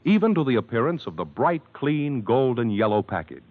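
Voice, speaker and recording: A man's voice: mid-range voice, sing-song, moderate pace. An American man announcing a 1950s radio cigarette advertisement in an emphatic, polished sales delivery. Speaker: commercial announcer. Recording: muffled vintage radio recording.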